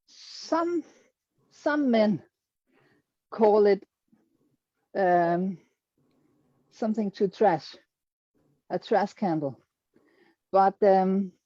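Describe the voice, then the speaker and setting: A Danish-accented woman speaks slowly and carefully, with many pauses as she searches for English words. Her voice is mellow and dry. The sound is slightly dull, like audio from an online call. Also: medium pitch, no room sound. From Denmark. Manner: hesitant, searching for English words, self-deprecating humor. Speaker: quilter presenting her work. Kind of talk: presentation to an online audience.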